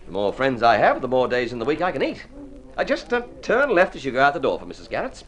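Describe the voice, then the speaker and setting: An actor in golden age radio drama playing a genial, wry bohemian painter with a jocular, avuncular manner. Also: male voice, mid-range voice, big pitch swings, fast talker, a bit bright.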